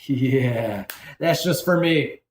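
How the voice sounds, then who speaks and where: A man's voice: mid-range voice, lively intonation, very slow, roomy sound, slight background noise. A man hosting a casual cooking demonstration, chatty and rambling, often correcting himself as he seasons a dish. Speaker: cooking show host.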